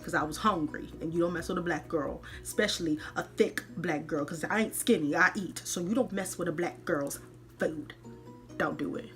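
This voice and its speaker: Woman host telling a casual story, really expressive and dramatic, lowish voice, bit of music under it. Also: normal speed. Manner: dramatic, expressive, colloquial, acts out dialogue.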